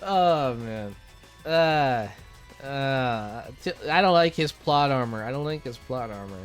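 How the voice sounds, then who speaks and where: A man speaks in a casual, opinionated co-host style, with pitch that moves around a lot. There is faint music underneath his voice. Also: expressive, takes it slowly, clean tone. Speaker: co-host of an anime character ranking.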